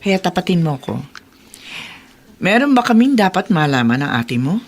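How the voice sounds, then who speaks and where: An animated, low-voiced caring aunt. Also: female voice, moderate pace. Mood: concerned, coaxing. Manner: coaxing, frank. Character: caring, probing, understanding aunt. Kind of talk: Tagalog radio drama acting.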